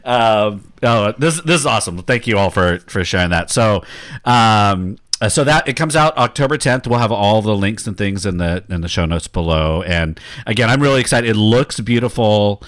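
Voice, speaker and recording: A man's voice: on the low side, animated, moderate pace, fairly bright tone. A man hosting a casual podcast chat over a video call, laughing at himself and restarting his sentences. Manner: laughing, self-deprecating, restarts often. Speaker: podcast host.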